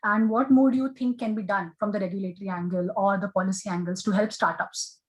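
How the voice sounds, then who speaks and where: Quick Indian woman asking a question. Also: medium pitch, fairly even intonation, poor audio. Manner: polite, mixes Hindi words into English.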